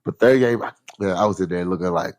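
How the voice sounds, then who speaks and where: Laid-back deep-voiced Texan man. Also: quick pace. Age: 30s. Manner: laid-back, rambling, slangy. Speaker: podcast co-host. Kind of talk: casual chat.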